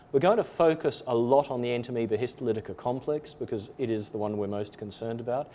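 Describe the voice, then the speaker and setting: A man with an Australian accent presenting a teaching webinar, explaining in a measured way with occasional ums. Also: mid-range voice, dark tone. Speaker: lab trainer presenting a webinar. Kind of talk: webinar lecture.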